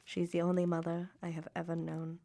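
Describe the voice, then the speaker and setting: Wounded Victorian little sister, low and creaky, formal letter-reading for radio drama. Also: female voice, completely flat delivery, warm tone, no room sound. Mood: reproachful, resigned. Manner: formal, measured, emotive period diction.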